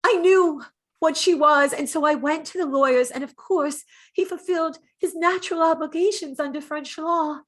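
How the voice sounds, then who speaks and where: Woman acting a wistful, high-voiced dead lover. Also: moderate pace, lightly acted. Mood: bitter, resolute. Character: dead gentle lovelorn woman, artist's former lover, remembering. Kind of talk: dramatic monologue in an audio play reading.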